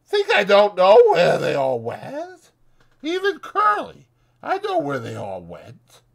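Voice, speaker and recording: High, taunting young ranch wife. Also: male voice, huge pitch swings, slow, creaky, full character voice. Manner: taunting, pouty, rural slang. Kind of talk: dramatized audiobook character voice.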